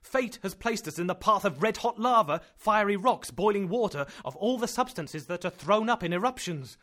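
A man voicing a terrified young man who shouts frantically. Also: medium pitch, lively intonation, fast talker. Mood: frantic. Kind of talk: audiobook character reading.

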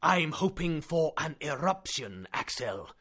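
A man voicing a calm older professor who speaks in a slow, measured, confident way. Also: mid-range voice, husky. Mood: calmly certain. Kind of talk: audiobook character reading.

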